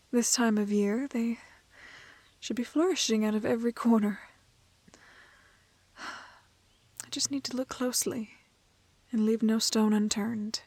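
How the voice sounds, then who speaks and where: A woman playing a cheerful, gentle young woman who gathers plants in a fantasy audio roleplay, often murmuring airily to herself. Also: on the high side, dry recording.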